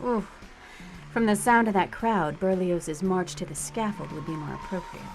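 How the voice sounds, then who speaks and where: An actress playing a wry, playful young stripper in a noir radio drama, with teasing banter. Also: female voice, on the low side, normal speed, music in the background, close to an everyday voice. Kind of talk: 1950s-style noir radio drama acting.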